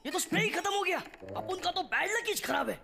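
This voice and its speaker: Squeaky, cocky Mumbai street-slang cockroach. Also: male voice, animated, quick pace, gravelly, heavy background noise, exaggerated voice. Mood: cocky, peeved. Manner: taunting, cheeky. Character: cocky, mischievous male cartoon cockroach, street-tough prankster. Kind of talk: Hindi cartoon dubbing. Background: loud cartoon music.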